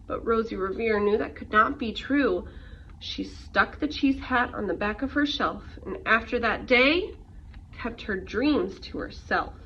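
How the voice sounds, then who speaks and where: Woman reading a rhyming kids book aloud, really slow and expressive, far from the mic with some hiss. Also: fairly high pitch. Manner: expressive storytelling. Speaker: children's storybook reader. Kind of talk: scripted rhyming read-aloud for young children. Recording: muffled, noisy, distant microphone.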